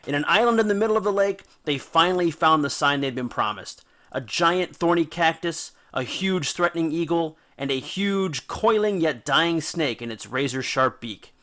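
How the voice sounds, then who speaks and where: A man's voice: fairly high pitch, expressive, sharp tone. A man narrating a scripted myth in a colloquial, theatrical and playful style, as the host of an educational series. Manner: theatrical, playful, punchy delivery with dramatic pauses.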